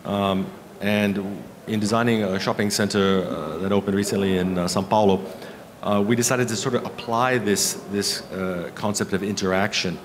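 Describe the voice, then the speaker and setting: A man in his fifties with a low voice presents at a conference. He speaks haltingly, pausing often between phrases. Clear room noise sits behind him. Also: fairly even intonation. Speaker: keynote speaker, architect. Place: conference hall stage at a lectern.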